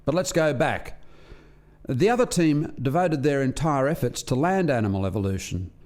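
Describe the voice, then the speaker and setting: A male actor plays an earnest alien researcher in a radio drama. He has a middle-pitched voice and explains his experiments formally and articulately, like a briefing to colleagues. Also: animated, moderate pace, a little mellow, natural voice. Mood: earnest, storytelling. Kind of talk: radio play acting.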